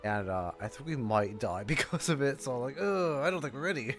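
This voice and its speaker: Animated man reacting to a game. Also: medium pitch. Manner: halting, repeats phrases. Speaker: streamer. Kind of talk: casual game reaction commentary. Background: game music.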